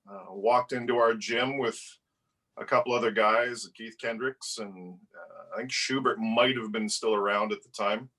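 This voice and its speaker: Relaxed male in his 50s, mid pitch, slightly off-mic, joking tone. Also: recorded from a distance, rough recording. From Edmonton, Alberta, Canada. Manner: laid-back, joking, mild swearing. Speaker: guest, club player. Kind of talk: casual storytelling interview.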